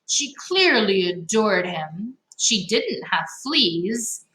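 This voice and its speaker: A woman reads a picture book aloud for children in a bright, highly expressive voice. She takes her time, leaning into key words and adding playful asides. The room gives her voice a noticeable echo. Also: slow. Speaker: read-aloud host for children. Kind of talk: animated children's storybook read-aloud. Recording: echoey, roomy sound.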